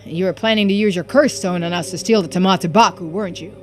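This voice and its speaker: A woman voicing a kind, earnest gentleman hero in a video game, theatrical and quietly resolute. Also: lowish voice, fast, close to an everyday voice. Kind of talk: video game character dialogue read-aloud. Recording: music behind the voice.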